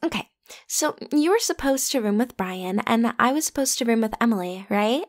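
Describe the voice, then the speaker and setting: A woman plays a popular teenage schoolgirl in an intimate ASMR roleplay. Her voice sits fairly high. She speaks in casual, youthful phrases, breezy and pushy, playfully teasing the one person she addresses. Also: lively intonation, moderate pace, natural voice. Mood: chatty, explaining. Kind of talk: ASMR roleplay, one-sided conversational acting.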